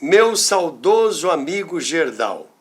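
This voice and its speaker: A man with a Brazilian Portuguese accent narrating scripted stories in a theatrical, deliberate way as a show host. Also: on the high side, sing-song, soft background music. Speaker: host and storyteller of a radio history show.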